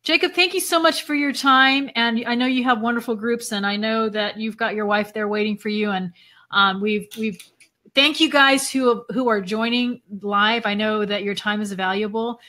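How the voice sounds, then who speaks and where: A woman's voice: on the high side, fairly even intonation, normal speed, pushed and bright. Chatty woman host losing her voice. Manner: chatty, laughs often, many fillers. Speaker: event host interviewing a speaker. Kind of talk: casual live video chat.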